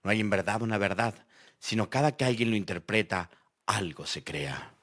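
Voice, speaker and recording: A man plays a philosophical thinker with a lowish voice. He speaks reflectively in aphorisms, as one voice in a scripted audio dialogue. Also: animated, moderate pace, a bit bright.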